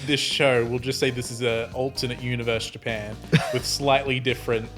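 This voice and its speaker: A man talks casually as he improvises around a tabletop game. His pitch is average for a man, and music plays underneath. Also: flat delivery, moderate pace.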